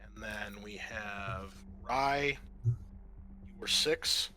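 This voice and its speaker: A man's voice: lowish voice, drawn-out words, sharp tone, a little hiss or hum. A man running a tabletop role-playing game as game master, talking casually and swearing freely.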